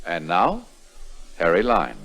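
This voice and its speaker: A male radio announcer in mid-century style, with animated, swinging intonation. Loud music plays behind him on an old radio recording. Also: low-pitched, slow, low-quality recording.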